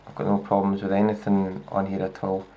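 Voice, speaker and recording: A man with a Scottish accent gives a casual, hands-on demo of a laptop, talking in a relaxed way with lots of fillers and restarts, recorded on a handheld camera indoors. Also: low-pitched, brisk, narrow, tinny audio. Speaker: tech reviewer. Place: indoors at a wooden table. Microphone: handheld camera filming a laptop screen.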